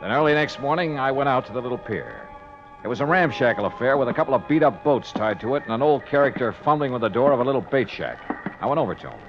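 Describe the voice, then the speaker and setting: A man's voice: medium pitch, big pitch swings, fast talker. An actor playing a breezy, hardboiled male insurance investigator in a punchy 1950s radio drama style. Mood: brisk narrating. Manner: wry, punchy, clipped narration. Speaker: lead actor and first-person narrator. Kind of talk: 1950s radio detective acting. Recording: dull vintage radio recording, music behind.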